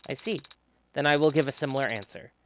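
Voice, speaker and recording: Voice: mid-range voice, quick pace, slight background noise, natural voice. A streamer as an earnest, precise android. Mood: earnest, matter-of-fact. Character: earnest, naive, literal-minded young humanoid android. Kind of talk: visual novel character reading. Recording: loud music under voice. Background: loud music.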